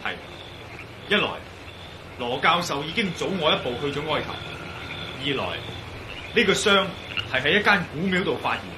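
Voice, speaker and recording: An actor playing a composed, reassuring and decisive adventurer in a Cantonese radio drama. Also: male voice, a little high, noisy background, close to an everyday voice. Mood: resolute.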